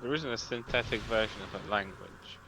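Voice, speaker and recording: A man's voice: lowish voice, normal speed. A Polish man whose English accent shifts around, explaining things earnestly in casual chat. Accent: mixed non-native English. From Poland. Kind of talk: casual group chat while gaming. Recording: clear background noise.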